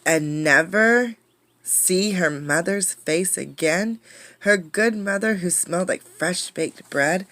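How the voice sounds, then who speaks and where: Woman, low-pitched voice, storybook read-aloud, dramatic emphasis, teacherly, close dry recording. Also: fairly bright tone, no room sound. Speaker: teacher reading a picture book aloud. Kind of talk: expressive storybook read-aloud with questions to listeners.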